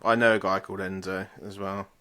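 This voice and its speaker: A man's voice: sits low, flat delivery, fairly quick, sharp tone. A young man co-hosting a podcast, chatting in a laid-back, dry way and swearing casually. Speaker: podcast co-host. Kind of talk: casual banter.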